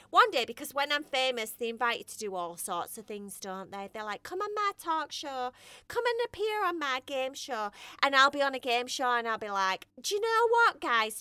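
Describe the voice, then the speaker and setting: A woman voicing a scatterbrained, boastful young female cat in a bedtime story, rambling and excitable. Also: high-pitched, clear, resonant tone, dry recording, clean studio sound, exaggerated voice. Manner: rambling, excitable, self-admiring.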